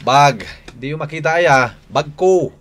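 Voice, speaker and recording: A man's voice: on the high side, measured pace, fairly bright tone, a little hiss or hum. A man playing a rude, demanding, wayward grown son in a radio drama, with an Ilocano accent.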